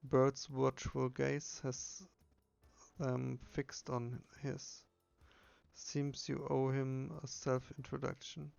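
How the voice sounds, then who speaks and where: Flat-toned man narrating, slightly muffled recording. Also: mid-range voice, slow, vocal fry, soft background music. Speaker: solo player reading game text aloud. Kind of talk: scripted narration of a text adventure game.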